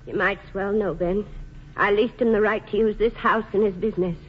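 An actress playing a plain-spoken, long-suffering working-class wife with folksy, earnest speech in a 1940s radio drama. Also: female voice, medium pitch, fairly even intonation, fairly quick, husky, close to an everyday voice. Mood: weary, resigned. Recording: dull, noisy vintage radio recording.

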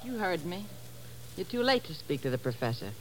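A woman's voice: fairly low pitch, big pitch swings, brisk. An actress playing a tough, defiant young frontier woman, curt and folksy, in a 1950s radio drama. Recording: faintly noisy 1950s radio recording.

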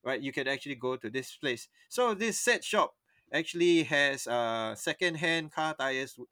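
A man's voice: medium pitch, expressive, moderate pace, pushed and bright, dry recording. A young man, chatting on a podcast and telling a long personal story that rambles, stops and restarts mid-sentence. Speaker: podcast co-host. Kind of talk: casual storytelling chat.

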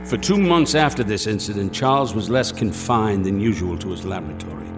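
A man acts a steady family doctor in a radio drama. His voice sits low, and he speaks with measured formality, like a storyteller recounting strange events. Music plays clearly behind him. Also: lightly acted. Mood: grave, measured. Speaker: narrator and doctor. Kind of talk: radio drama acting and narration. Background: prominent music.

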